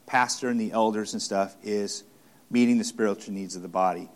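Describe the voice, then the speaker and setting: Halting male preacher, steady mid voice. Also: on the low side. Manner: halting, many restarts and fillers, repeats phrases for emphasis. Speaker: pastor. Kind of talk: expository sermon teaching.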